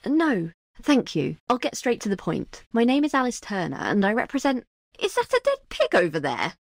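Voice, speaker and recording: A woman's voice: on the high side, wildly swooping pitch, moderate pace, creaky, no echo, studio quality, heavily acted. A woman playing a polite, formal, businesslike client in an audio drama. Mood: businesslike, unsettled. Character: Alice Turner, polite, businesslike female client.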